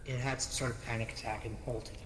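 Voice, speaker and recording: Male online roleplay player, average pitch, improvised delivery, noisy capture. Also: little pitch movement, fast talker. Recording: echoey, noisy voice-chat capture.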